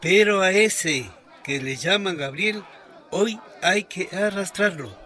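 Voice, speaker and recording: A man playing a hot-headed townsman in a vigilante mob, agitated and shouting, in a radio drama. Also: high-pitched, takes it slowly, some chatter behind, heavily acted. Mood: menacing.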